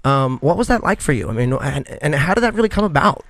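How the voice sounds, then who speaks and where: A man's voice: fairly high pitch, sing-song, quick pace, creaky, fairly bright tone, no echo. Upbeat man hosting a podcast. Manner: rambling, many fillers and restarts. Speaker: podcast host. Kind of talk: casual interview chat.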